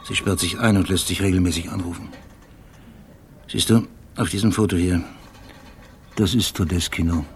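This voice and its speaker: An actor playing an obsessive police detective in a German radio drama, recounting things in a measured, deliberate, terse way. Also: male voice, low voice, steady tone, a little hiss or hum, natural voice. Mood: grim, precise. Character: obsessive male police detective, precise brooding narrator haunted by memory.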